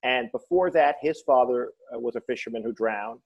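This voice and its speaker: A man chats as a guest, a comedian and author with a joking, storytelling way of talking. His pitch sits in an ordinary middle range. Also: moderate pace, slightly rough, highs cut off. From Newfoundland. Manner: joking storyteller, slips into voices. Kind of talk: casual interview.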